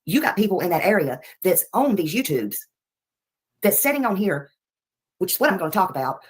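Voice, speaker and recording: Low-voiced Southern woman chatting on a livestream. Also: very animated, fairly quick, husky. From Nashville, United States. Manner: folksy, rambling, polite, thanking viewers. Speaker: livestream host. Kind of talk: casual livestream chat.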